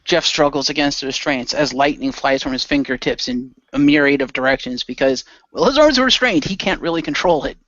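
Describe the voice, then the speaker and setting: A man's voice: medium pitch, brisk, hardly any pauses, fairly bright tone, no room sound, lo-fi sound. A man giving improvised narration of the action in an amateur radio play.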